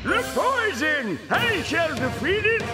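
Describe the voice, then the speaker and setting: A man voicing a pompous, bossy, melodramatic ape council leader in a cartoon, declaiming theatrically over loud music. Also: very high-pitched, sing-song, slow pace, exaggerated voice. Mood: melodramatic alarm. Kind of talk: cartoon voice acting.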